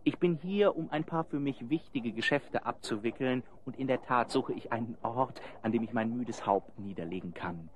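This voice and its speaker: A man's voice: mid-range voice, normal speed, hardly any pauses, natural voice. An actor playing a sly, vain swindler who poses as a nobleman, with a theatrical, blustering manner in a radio play. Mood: flustered, pompous. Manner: theatrical, blustering, stumbling over his invented name.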